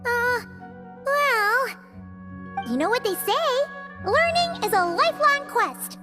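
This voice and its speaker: A tiny, cheeky floating companion chatters in a very high, squeaky childlike voice that leaps up and down with every excited, dramatic line. Music plays clearly behind her. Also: slow, heavily acted. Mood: playful. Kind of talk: video game cutscene acting. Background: background music.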